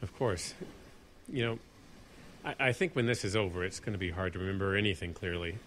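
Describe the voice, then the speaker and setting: A man's voice: sits low, fairly quick, a bit bright, slight background noise. A man acting an earnest, cautious bush pilot in a horror audio drama, talking with some hesitation. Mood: gentle, hesitant.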